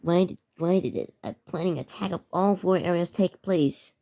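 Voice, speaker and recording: A woman narrating amateur scripted emergency news bulletins in a halting way, often stumbling over and repeating words. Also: fairly low pitch, mellow. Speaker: narrator of a fictional emergency alert scenario.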